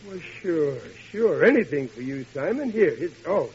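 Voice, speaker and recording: A flustered, blustering American family man in an old radio comedy. His voice sits fairly high and trips over itself in a folksy stammer. The recording is dull and muffled. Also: very animated, normal speed, darkish tone, breathy edge, heavily acted. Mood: nervous. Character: flustered, blustering working-class family father. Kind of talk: 1940s radio comedy acting.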